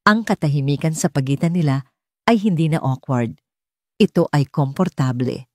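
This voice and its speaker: A woman's voice: low-pitched, normal speed, dry recording, studio quality. A woman with a Filipino accent reading a scripted romance story as an audiobook narrator.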